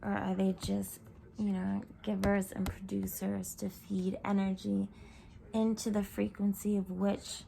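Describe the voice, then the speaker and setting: Croaky woman giving a spiritual reading. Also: mid-range voice, completely flat delivery, measured pace, mellow, slight background noise, low-quality recording. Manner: meandering, reflective, frequent fillers and drifting into whispers. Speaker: tarot reader. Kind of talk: spiritual card reading monologue. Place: home room. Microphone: camera mic.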